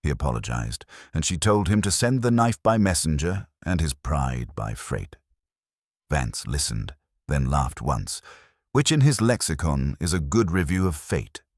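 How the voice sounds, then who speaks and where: A man's voice: extremely low pitch, sing-song, unhurried, no room sound, studio quality. A man narrating a first-person detective mystery story from a script. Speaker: audiobook narrator.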